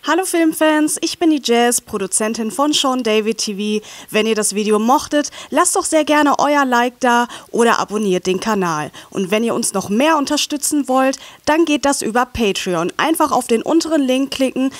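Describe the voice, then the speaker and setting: A young woman with a fairly high voice reads a scripted promo outro addressed to viewers. The recording is very dry, taken close on a handheld mic. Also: animated, moderate pace, hardly any pauses, no echo. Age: young adult. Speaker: channel producer. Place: indoor room lined with media shelves. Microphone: handheld microphone held close.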